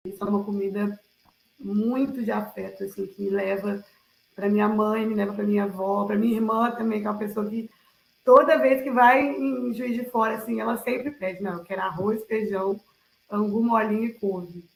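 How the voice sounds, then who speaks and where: A woman talks in a casual, reflective way. Her voice has a creaky edge at a middling pitch, and the sound is roomy and echoey, as on a video call. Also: steady tone, moderate pace. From Minas Gerais, Brazil. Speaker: participant in an online cultural gathering. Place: online video call, roomy indoor space.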